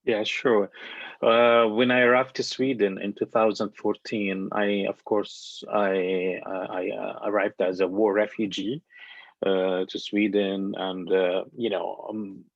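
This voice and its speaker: A man's voice: fairly low pitch, level tone, takes it slowly, creaky, no echo, highs cut off. A man from Syria answering interview questions, speaking hesitantly with many fillers and restarts. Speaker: guest, documentary narrator. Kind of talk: conversational panel interview.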